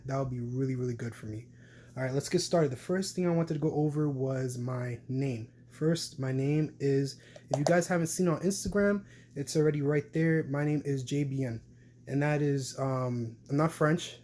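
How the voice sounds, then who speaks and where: A man's voice: mid-range voice, mellow, slight background noise. A young man, talking casually to camera in a rambling way with many fillers and restarts. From New York City. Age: young adult. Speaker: vlogger.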